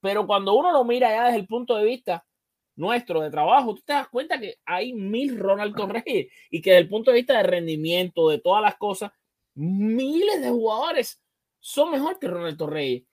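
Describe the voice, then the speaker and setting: A man's voice: high voice, expressive, moderate pace, a little mellow. A man hosting a sports show, discussing baseball casually and emphatically, often repeating phrases to drive a point home.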